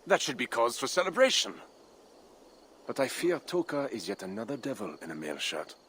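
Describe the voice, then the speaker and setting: A man's voice: medium pitch, sing-song, moderate pace, fairly bright tone, slightly rough. A man playing a proud, ambitious Frankish count, formal and solemn, in a dramatic video game. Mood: grave, wary.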